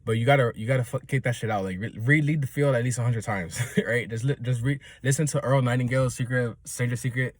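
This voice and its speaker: A man's voice: mid-range voice, fast, hardly any pauses, no echo, clean studio sound. A man in his twenties talking off the cuff in a loose, rambling monologue, chuckling often and checking in with the listener. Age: 20s. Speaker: solo talker.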